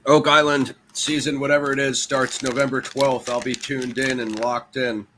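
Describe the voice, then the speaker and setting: A man's voice: medium pitch, flat delivery, moderate pace, a bit bright, slight background noise, low-quality recording. A man chatting as a guest on a video call, enthusiastic and listing ideas. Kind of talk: casual chat.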